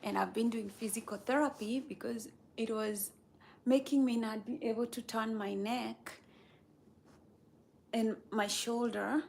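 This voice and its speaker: Slow, gravelly-voiced woman chatting. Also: on the high side, steady tone, darkish tone. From Kenya. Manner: unhurried, rambling, talks to viewers. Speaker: livestreamer. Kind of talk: casual live-stream chat.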